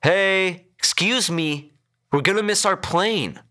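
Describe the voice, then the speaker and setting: A man voicing an angry, foul-mouthed male car passenger in an audiobook story, shouting and pleading in exasperation. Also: sits high, slow, pitched above the performer's own voice, exaggerated voice. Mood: exasperated.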